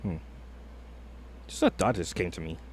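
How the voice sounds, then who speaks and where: Deep-voiced man rambling in reaction. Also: moderate pace, darkish tone, a little hiss or hum. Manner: hesitant, thinking aloud with many fillers. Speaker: streamer reacting to a video. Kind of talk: casual reaction commentary.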